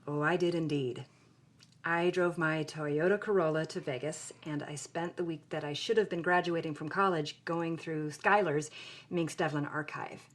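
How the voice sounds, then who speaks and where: A woman's voice: deep voice, fairly even intonation, moderate pace. An actress playing a headstrong, impulsive young woman, speaking candidly and expressively in a podcast-style audio drama. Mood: confiding. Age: young adult.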